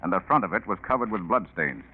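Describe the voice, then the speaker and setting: A man's voice: low voice, animated. A man playing a dry, suspicious police lieutenant who probes offhandedly in a 1940s radio drama. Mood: suspicious, firm. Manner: hesitant, deliberately offhand, probing. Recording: tinny, faintly noisy 1940s radio recording.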